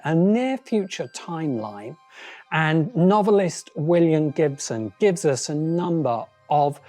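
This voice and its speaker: Guy narrating a video essay, dark mellow voice, deliberate pauses, faint music under him. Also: fairly high pitch, no room sound. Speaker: host, science fiction critic.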